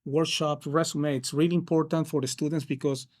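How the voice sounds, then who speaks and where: Man, fairly high voice. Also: steady tone, brisk, a little mellow. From Peru. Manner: hesitant, many fillers and restarts. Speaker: case manager counselor. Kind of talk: interview answers.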